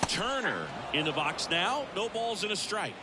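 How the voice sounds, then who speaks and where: A brisk baseball play-by-play announcer in a sports game. His voice sits fairly high and swings animatedly as he calls the action, with very loud noise all around it. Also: big pitch swings. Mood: engaged, descriptive.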